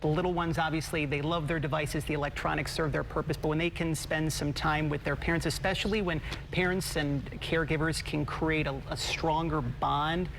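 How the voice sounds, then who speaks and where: Heartfelt male TV guest, a bit high-pitched and gravelly, faint hum behind. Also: steady tone, fairly quick, a bit bright. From Buffalo, New York. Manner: earnest, upbeat, heartfelt. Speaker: guest, publicist for children's authors. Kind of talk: live TV morning show interview. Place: TV studio.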